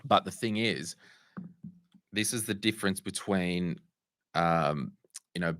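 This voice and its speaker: Croaky deep-voiced Australian man. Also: slow pace, a bit bright, dry recording, clean studio sound. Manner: conversational, restarts and asides while fixing tech. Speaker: host answering viewer questions. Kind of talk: casual livestream Q&A.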